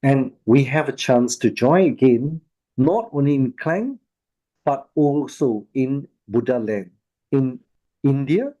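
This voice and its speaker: A man with a Malaysian accent speaks as a volunteer at an informal talk, sharing personal anecdotes. His voice is mellow and relaxed, at a middling pitch. His phrasing is halting and often circles back to repeat itself. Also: low-quality recording. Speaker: volunteer speaker at an online Buddhist charity talk.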